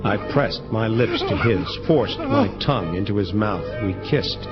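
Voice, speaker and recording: An actor playing a world-weary angel of vengeance, narrating gravely in hardboiled detective style, measured and deliberate. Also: male voice, fairly low pitch, expressive. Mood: grave, ominous. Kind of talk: first-person hardboiled detective narration, audio drama. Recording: dull lo-fi audio under loud music.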